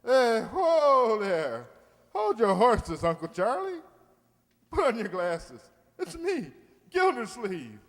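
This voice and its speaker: A man's voice: extremely high pitch, huge pitch swings, slow pace, exaggerated voice. An actor playing a pompous, flustered middle-aged water commissioner in an old-time radio comedy, blustering and hemming as he talks. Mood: placating bluster. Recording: reverberant hall, stage re-enactment.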